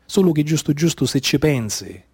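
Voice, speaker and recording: Radio theatre: male actor as exhausted, desperate migrant at sea, Sicilian accent, fairly high voice, urgent incantatory delivery. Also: lively intonation, normal speed, a little mellow, slightly rough. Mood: bitter, anguished.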